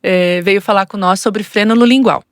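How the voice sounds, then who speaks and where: A woman's voice: mid-range voice, steady tone, normal speed, dry recording, studio quality. A woman with a Brazilian accent hosting a podcast interview as a speech therapist. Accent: Brazilian Portuguese.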